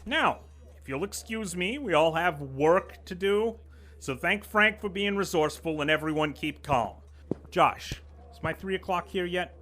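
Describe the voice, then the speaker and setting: A man's voice: high voice, animated, unhurried, pushed and bright, a little hiss or hum, heavily acted. A man playing a pompous, sneering corporate boss. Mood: sarcastic. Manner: pompous, condescending, lecturing. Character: pompous middle-aged male corporate boss, menacing and sly. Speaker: office vice president. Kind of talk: audio drama acting.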